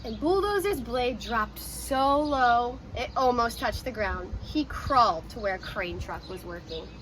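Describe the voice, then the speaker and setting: Casting: female read-aloud storyteller, high voice, very lively intonation, some background noise. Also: measured pace, rough recording. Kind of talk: expressive children's story narration.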